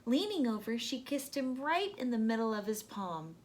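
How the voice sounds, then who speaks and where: A woman reading a children's storybook aloud in a gentle, deliberate, expressive narration. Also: on the high side.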